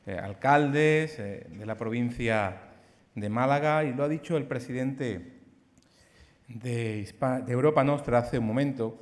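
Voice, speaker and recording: A man's voice: mid-range voice, moderate pace. A man, a provincial council president, giving an earnest, emphatic acceptance speech on stage with some fillers. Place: large theatre stage. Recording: long hall reverb from a theatre stage.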